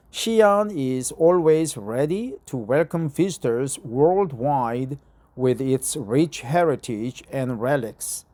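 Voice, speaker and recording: Man, Korean accent, English not his first language, slow careful reading, mellow low tone. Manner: hesitant, frequent uh fillers, careful deliberate reading. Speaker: vlogger. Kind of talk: casual talk and reading aloud. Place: outdoor courtyard bench in front of a church.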